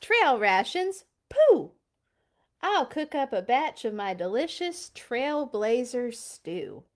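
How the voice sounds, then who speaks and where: A woman's voice: fairly high pitch, big pitch swings, slow, a little mellow. A woman voicing a blustery, boastful papa bear scout guide in a storybook, exclaiming with overconfidence. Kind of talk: animated storybook read-aloud.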